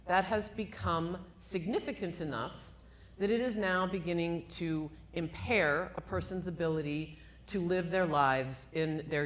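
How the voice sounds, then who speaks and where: A woman neurologist speaking live to an audience in a room, with a loud, emphatic voice and rhetorical questions. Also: fairly low pitch. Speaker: cognitive neurologist guest. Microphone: handheld microphone.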